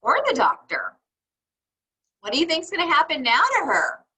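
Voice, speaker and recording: A woman reading a storybook to young children, encouraging them and pausing for answers to her questions. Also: high-pitched, very animated, sharp tone. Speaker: read-aloud teacher for young children.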